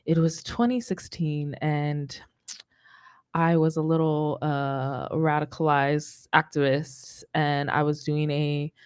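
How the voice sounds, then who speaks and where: A woman's voice: deep voice, level tone, slow, a little mellow, clean tone, no echo. A young American woman hosting a music show, chatting casually into a studio mic. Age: young adult. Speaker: radio show host. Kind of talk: casual chat on a music livestream. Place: indoor studio. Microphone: boom-arm studio mic.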